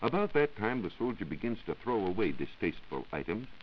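American male science reporter, vintage 1940s radio; deep, expressive, formal scripted lecture, old recording. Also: a little fast, lo-fi sound, slight background noise.

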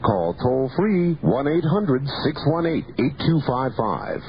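A man hosting a late-night radio talk show, chatting with a guest and taking calls in a relaxed, conversational way. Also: mid-range voice, slow pace. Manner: relaxed, conversational, lively intonation. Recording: muffled lo-fi radio broadcast, faint hiss.

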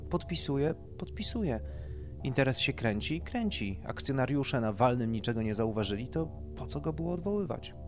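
A man's voice: mid-range voice, very animated, normal speed, music in the background, voice pushed up, natural voice. A man voicing a snobbish, gossipy society wife in an audio story, indignant and vulgar, with emphatic delivery. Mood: chatty, blasé.

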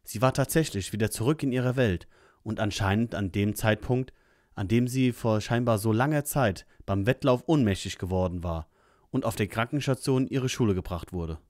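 A man's voice: lowish voice, darkish tone, dry recording, clean studio sound. A man narrating an audio drama in a scripted storytelling style.

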